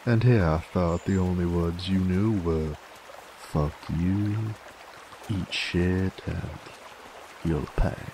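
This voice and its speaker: A man playing a cocky, dominant werewolf bounty hunter in an ASMR roleplay, with a gravelly cowboy drawl and a teasing, folksy manner. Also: takes it slowly, a little hiss or hum. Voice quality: deep, gravelly. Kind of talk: ASMR audio roleplay, in-character monologue. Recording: steady trickling stream ambience behind. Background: running water, outdoor nature ambience.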